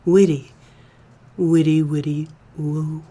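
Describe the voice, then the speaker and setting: Woman as a low-voiced, coy noir femme fatale. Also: level tone, slow pace, dark tone, slightly rough, full character voice. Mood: coy, flirtatious. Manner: coy, sweet-talking with pet names. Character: coy, scheming femme fatale widow, cloyingly flirtatious. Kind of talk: comedic noir radio play dialogue.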